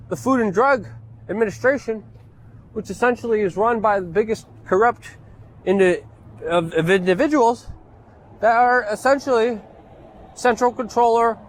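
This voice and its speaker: A man born and raised in Poland, delivering an indignant, sarcastic ranting monologue as a political commentator. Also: high-pitched.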